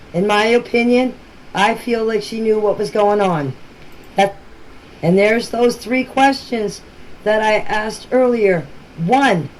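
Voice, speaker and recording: A woman's voice: medium pitch, darkish tone. Insistent middle-aged woman, noisy voice message. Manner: insistent, plain-spoken, repeating herself. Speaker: local resident answering questions in a group chat. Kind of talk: informal voice messages. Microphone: voice messages recorded for a group chat. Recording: noisy amateur voice-message clips.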